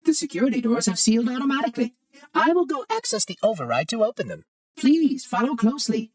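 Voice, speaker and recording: A man's voice: extremely high pitch, very animated, quick pace, no echo, recorded from a distance. A man playing a prim, fussy, ancient robot monitor in a video game, speaking in a formal, precise, oddly cheery way with light robotic processing. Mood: polite, brisk. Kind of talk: video game character acting.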